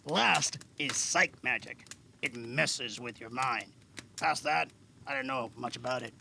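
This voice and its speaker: Weathered fantasy veteran , male actor: gravelly, bright-edged voice, modest and measured, amateur audio drama. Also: medium pitch, a little hiss or hum, exaggerated voice. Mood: explaining. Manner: measured explaining with thoughtful hums. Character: Alan, weathered veteran male caster, patient, modest, wryly guarded.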